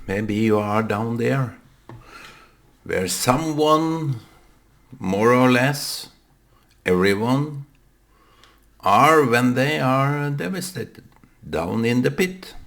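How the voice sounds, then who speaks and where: A man with a Norwegian accent muses aloud to the camera, a native Norwegian speaker working in English. He talks very slowly in a gravelly voice. He stops often, with many fillers and restarts. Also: mid-range voice, expressive, fairly bright tone. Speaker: vlogger. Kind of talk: reflective solo monologue.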